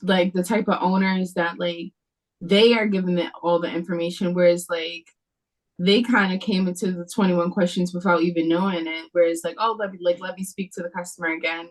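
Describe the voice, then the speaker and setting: A woman's voice: lowish voice, flat delivery, a little fast, low-quality recording. A woman giving casual feedback on a script over a video call, speaking in loose, informal, slangy phrasing. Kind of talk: casual chat.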